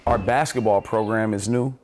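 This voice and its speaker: A man's voice: on the low side, moderate pace, soft background music. A man in his thirties, a basketball coach, talking casually in an interview with many fillers. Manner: relaxed, conversational, many fillers.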